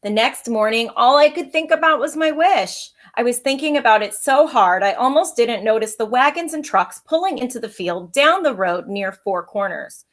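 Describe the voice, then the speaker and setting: A woman's voice: a little high, animated, normal speed, hardly any pauses, fairly bright tone. A woman who is an elementary school teacher, reading a children's storybook aloud with expression.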